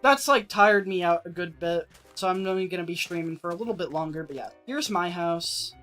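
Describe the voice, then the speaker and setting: A streamer chatting casually through a let's-play, rambling with lots of filler words and getting a little raspy. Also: medium pitch, brisk, a bit bright, faint music behind. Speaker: streamer playing an old game version.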